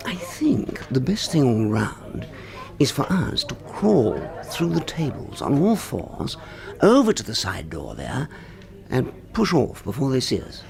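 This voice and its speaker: Flustered British schemer, wildly swooping delivery. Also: male voice, medium pitch, wildly swooping pitch, unhurried, gravelly, a little mellow, exaggerated voice. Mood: furtive, casual. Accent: British English. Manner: theatrical, wordy, fretful. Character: flustered, scheming husband beset by his own duplicate. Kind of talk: British radio drama acting.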